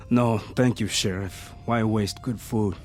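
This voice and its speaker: An actor playing a gentle, fatalistic condemned prisoner in a Western radio drama. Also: male voice, lowish voice, fairly even intonation, takes it slowly, soft background music. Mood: resigned, gentle. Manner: soft, fatalistic, philosophical. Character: resigned, gentle Mexican prisoner awaiting hanging.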